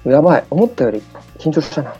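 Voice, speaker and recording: A woman's voice: low voice, very animated, fast talker, dark tone. A woman performing in a radio improv workshop, bantering casually in an apologetic manner. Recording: slightly dull sound, music behind.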